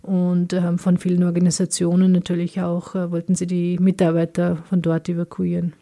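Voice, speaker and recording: A woman from Afghanistan, a radio interview guest answering earnestly in a measured way, often pausing to find her words. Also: fairly low pitch, completely flat delivery, dark tone.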